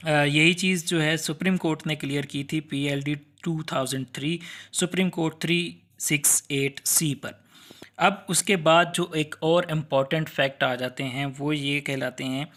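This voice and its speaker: A man's voice: on the high side, fairly bright tone. A man with a Pakistani accent giving an explanatory law lecture in a conversational way, rephrasing and posing questions as he goes.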